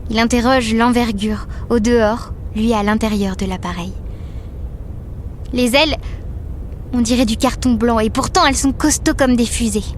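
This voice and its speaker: Higher female voice, measured poetic narrator, played by an actress, literary audio drama, faint rumbling noise. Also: natural voice. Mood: contemplative. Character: female narrator of a child's inner world.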